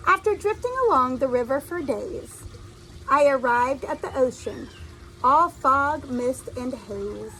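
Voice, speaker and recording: A woman reading a children's story aloud in an expressive, deliberate storytelling style, like a teacher addressing young listeners. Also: sits high, slight background noise, rough recording.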